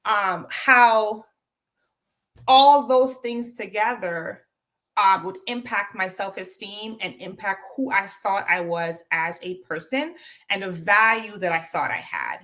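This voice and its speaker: A woman's voice: mid-range voice, lively intonation, slow, fairly bright tone. A woman from Jamaica with a Jamaican accent, telling her own story as an interview guest, reflective, with frequent ums and tag questions.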